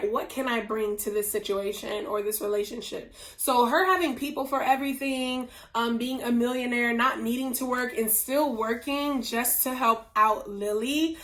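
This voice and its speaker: Woman, hoarse, losing her voice, slightly high pitch, gushing book reviewer, emphatic. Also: a bit bright. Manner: gushing, emphatic, drawn-out stresses. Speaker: book reviewer, channel host. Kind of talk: casual book review vlog. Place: indoors at home, whiteboard nearby.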